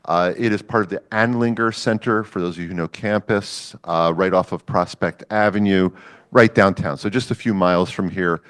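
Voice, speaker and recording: A man's voice: deep voice, normal speed, a bit bright, clear, resonant tone. A man hosting a public science lecture, chatting casually with the audience and introducing the speaker. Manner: chatty, with fillers, jokes with the audience. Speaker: event host introducing a lecturer.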